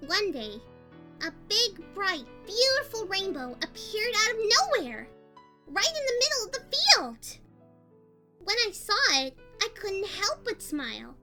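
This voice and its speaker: A woman voicing a cheerful, hyper young female party pony in a fan fiction audio story, girlish and expressive. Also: exaggerated voice. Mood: fond, wondrous. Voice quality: high-pitched, girlish. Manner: bubbly, expressive. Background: music.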